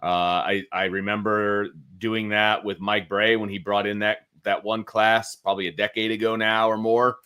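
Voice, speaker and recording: A man's voice: on the low side, little pitch movement, normal speed, few pauses, sharp tone, clean tone. An American man co-hosting a sports talk show, giving casual analysis and informally talking through his reasoning.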